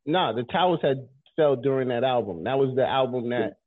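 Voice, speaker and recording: A man's voice: medium pitch, lively intonation, moderate pace, no echo, phone-quality sound. A congested man hosting a radio talk show, arguing insistently and often repeating himself. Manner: argumentative, insistent, repeats words. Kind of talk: casual debate chat.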